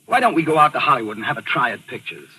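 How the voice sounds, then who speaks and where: A man's voice: medium pitch, big pitch swings, very fast, slightly rough, full character voice, poor audio. An American actor playing a bitter, jealous husband narrating his story in a controlled, clipped way in a 1940s radio mystery. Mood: guarded, probing. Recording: old radio broadcast with loud music.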